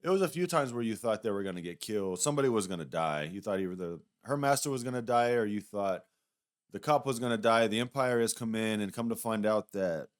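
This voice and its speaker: Low, clear-voiced man chatting casually. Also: animated, quick pace. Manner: rambling, hedging, conversational. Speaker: YouTube reviewer. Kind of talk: casual, unscripted review commentary.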